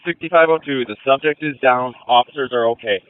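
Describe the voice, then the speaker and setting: A man's voice: mid-range voice, a little fast, clean tone. A male police officer giving terse, urgent field reports over a handheld radio. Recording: tinny police radio, cutting out.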